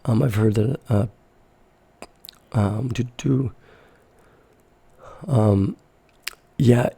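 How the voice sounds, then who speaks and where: Hoarse, gravelly man in his sixties. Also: medium pitch, drawn-out words, mellow. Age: 60s. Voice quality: raspy. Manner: blunt, profane and fiery. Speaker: host of a live crypto trading show. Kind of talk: live-stream chat answering viewer questions.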